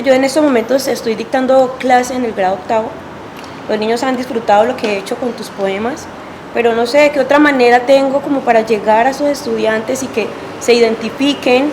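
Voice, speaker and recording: Hesitant Cali woman asking a question. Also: fairly high pitch. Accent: Colombian, from Cali. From Colombia. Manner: hesitant, halting, many restarts. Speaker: audience member asking a question. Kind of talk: question from the audience. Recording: quiet, noisy, off-mic.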